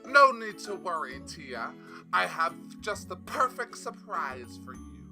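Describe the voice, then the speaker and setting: A woman's voice: fairly low pitch, big pitch swings, pushed and bright, soft background music, heavily acted. A woman voicing a mischievous, gloating male chimera trickster in a storybook tale, smirking and teasing. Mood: gleeful scheming. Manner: smirking, teasing, drawn-out delivery. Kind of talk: storybook fan fiction character voice.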